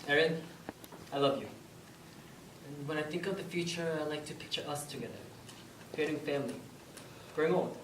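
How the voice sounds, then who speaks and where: A man's voice: a little high, brisk, long pauses, natural voice. A man on stage playing an easygoing, polite young boyfriend, recorded in an echoey space. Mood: tender, nervous. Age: young adult. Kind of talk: amateur stage play dialogue. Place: indoor stage performance, recorded from off. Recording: echoey distant stage recording, loud noise.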